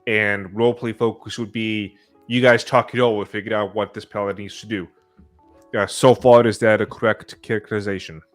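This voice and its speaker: A man's voice: fairly low pitch, level tone, brisk, faint music behind. A man in a casual discussion, methodically laying out examples and questions. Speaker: discussion participant asking questions.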